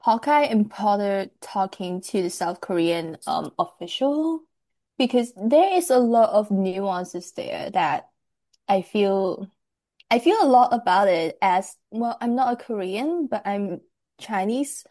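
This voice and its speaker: A woman from Hong Kong talks candidly and with emphasis, laughing easily in casual conversation. Her voice is mellow and warm. The sound is dry, with no room echo. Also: medium pitch, expressive, slow. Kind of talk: casual chat.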